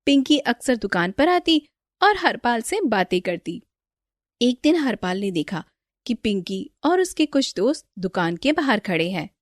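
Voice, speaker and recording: A woman's voice: fairly high pitch, big pitch swings, unhurried, dry recording, studio quality. A woman storyteller reading a scripted children's moral story as narrator.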